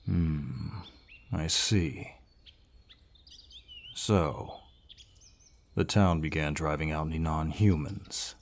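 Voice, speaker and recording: An actor playing a large, gruff but kindly barbarian warrior in an audio roleplay, measured and reassuring, with a deep voice. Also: male voice, full character voice. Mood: grave, weary. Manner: measured, reassuring, with pauses and sighs. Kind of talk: scripted audio roleplay, addressing the listener. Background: birdsong forest sound effects.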